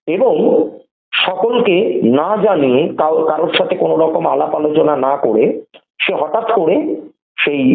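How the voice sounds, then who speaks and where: A man with a Bengali accent tells a story conversationally on a phone call, explaining deliberately and repeating phrases for emphasis. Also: a little high. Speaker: podcast guest telling a horror story. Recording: narrow phone-call line, roomy echo.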